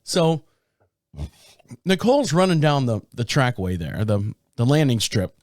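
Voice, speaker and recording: A man hosting his own podcast in casual talk, a bit raspy from a sore throat, rambling and restarting his sentences. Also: big pitch swings, a little slow, a little mellow, no echo, studio quality. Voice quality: deep. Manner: rambling, self-interrupting storyteller. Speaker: podcast host. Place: small podcast studio. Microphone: studio microphone.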